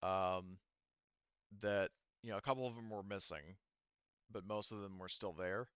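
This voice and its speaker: A man with a low, clean voice acts as game master, describing scenes off the cuff. He gropes for words as he goes. The sound is close and dry, though slightly dull. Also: a bit bright, clear, resonant tone, dry recording. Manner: halting, searching for words while describing scenes. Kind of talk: improvised narration of a tabletop roleplaying game.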